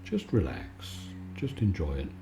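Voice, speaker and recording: A man giving a calm, soothing, conversational bedtime chat in a relaxed ASMR style. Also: extremely low pitch, measured pace, slight background noise. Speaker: video maker and host.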